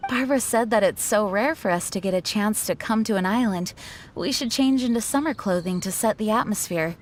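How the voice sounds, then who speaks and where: A woman's voice: medium pitch, a little fast, lightly acted. A woman voicing a dutiful, protective young female knight in a video game, composed, earnest and slightly formal. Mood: self-conscious. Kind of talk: video game character acting. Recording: music playing behind the voice.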